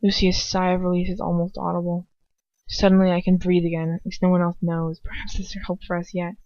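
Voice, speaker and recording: A woman's voice: fairly low pitch, level tone, brisk, mellow, dry recording. Informal woman reading fiction, dry muffled recording. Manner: informal, swears, self-correcting, jokey asides. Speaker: amateur fan fiction reader. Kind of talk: expressive story reading with casual asides.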